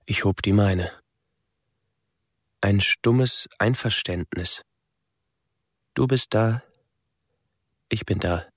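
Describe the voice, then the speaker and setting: Slow, low-voiced young recluse. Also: male voice, breathy edge, no room sound, studio quality, exaggerated voice. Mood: quiet, tender. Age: young adult. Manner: measured, halting short phrases. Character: withdrawn young Japanese recluse man, melancholy, introspective. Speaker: narrator. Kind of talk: literary radio drama monologue.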